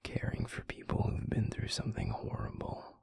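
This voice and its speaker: A man playing a tender, protective werewolf boyfriend in an intimate roleplay audio drama, speaking in a hushed, breathy, pleading way. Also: normal speed, no room sound, in a whisper, natural voice. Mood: tender, reassuring. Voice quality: breathy, gravelly, husky low voice. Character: tender, protective, stubbornly caring adult male werewolf boyfriend.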